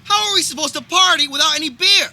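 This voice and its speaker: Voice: sits high, fast talker, sharp tone, exaggerated voice. A performer playing a bossy, exasperated, impatient teenage boy in a live outdoor comedy radio play. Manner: commanding, exclamatory, impatient. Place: backyard, before a live audience. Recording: live outdoor recording, faint background noise.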